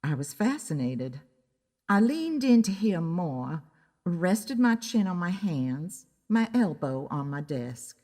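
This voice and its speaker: Slow, low-voiced detective, long reverb. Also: female voice, sing-song, dark tone, full character voice. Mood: wry, matter-of-fact. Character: wry, easily annoyed woman private investigator. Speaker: narrator and lead character of an audio drama. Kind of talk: scripted first-person detective story narration.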